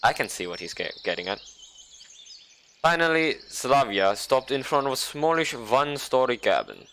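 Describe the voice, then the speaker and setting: A young man reading a visual novel aloud, with playful, sarcastic asides and mock-dramatic slowdowns. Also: medium pitch, very animated, a bit bright. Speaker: game streamer.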